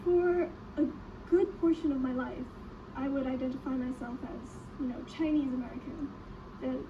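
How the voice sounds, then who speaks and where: A woman's voice: high-pitched, steady tone, moderate pace, far from the mic, highs cut off, background noise. A woman in a documentary interview speaking casually with "you know" fillers.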